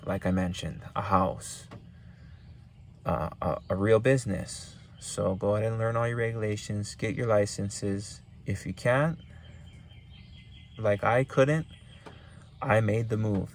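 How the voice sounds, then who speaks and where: A man's voice: fairly low pitch, vocal fry, slight background noise. A young man from Hawaii with a Hawaiian accent, giving casual, rambling business advice with lots of ums and repeats.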